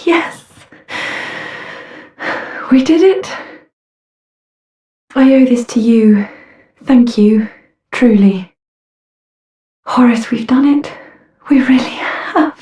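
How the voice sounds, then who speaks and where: A woman voicing a courteous, earnest female knight in a fantasy game, speaking formally and gently. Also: a little high, slow, long pauses. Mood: joyful relief. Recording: roomy echo, slightly distant.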